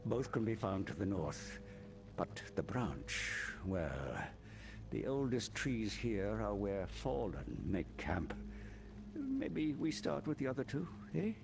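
A hearty woodland druid for a fantasy game. His low voice rises and falls widely as he rambles in a folksy way, with noisy ambience behind him. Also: huge pitch swings, takes it slowly, creaky, exaggerated voice. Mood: earnest, concerned. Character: hearty bearded druid grateful for his rescue. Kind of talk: video game character acting.

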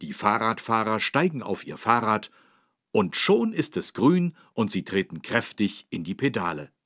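A man playing a patient, friendly instructor, narrating for children in an encouraging, didactic way with a husky voice. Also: lowish voice, sing-song, measured pace, fairly bright tone, dry recording, clean studio sound, full character voice. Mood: instructive. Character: friendly, patient adult man teaching children road safety. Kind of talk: scripted children's educational narration.

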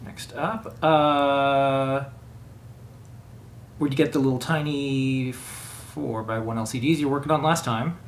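A man's voice: mid-range voice, fairly even intonation, slow pace. Guy cohosting, reading out questions casually, bit of background noise. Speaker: co-host relaying viewer questions. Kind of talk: casual livestream Q&A.

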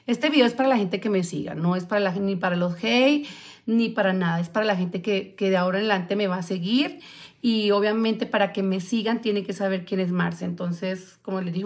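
Chatty woman in her forties. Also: mid-range voice. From Colombia. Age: 40s. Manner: chatty, answers viewer comments as she talks, many fillers. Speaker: vlogger. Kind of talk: casual live-stream chat. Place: small room at home, indoors. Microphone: phone camera close up.

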